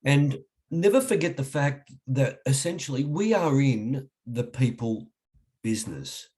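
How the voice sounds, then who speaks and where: Husky-voiced man presenting a webinar. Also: medium pitch, lively intonation, a little mellow. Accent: Australian. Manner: halting, frequent restarts and fillers. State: husky from a cold. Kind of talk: online webinar lecture.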